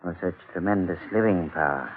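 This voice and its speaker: An actor playing an observant professor in a 1940s radio mystery. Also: male voice, low voice, fairly quick, natural voice. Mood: wry, curious. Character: male professor, observant houseguest and chess opponent. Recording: tinny band-limited old radio recording.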